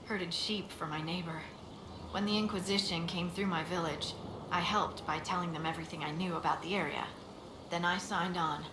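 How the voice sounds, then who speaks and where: Woman as a lowish-voiced, casual young scout. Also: steady tone, normal speed, lightly acted. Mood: modest, earnest. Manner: casual, playful. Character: cheeky young village-born female scout, modest and eager. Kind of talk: video game dialogue acting. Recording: roomy echo, clearly noisy game capture.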